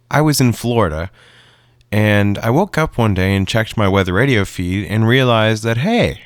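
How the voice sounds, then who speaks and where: A man's voice: fairly low pitch, no room sound, studio quality. A man giving a casual, conversational explanation to viewers in the manner of a hobbyist video creator.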